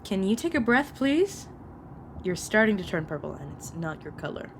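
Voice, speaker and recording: A woman's voice: mid-range voice, big pitch swings, normal speed, a little hiss or hum. A woman playing a sassy, protective escort to a new vampire in an audio roleplay, teasing and caring in manner. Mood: teasing, exasperated. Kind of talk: narrative audio roleplay acting.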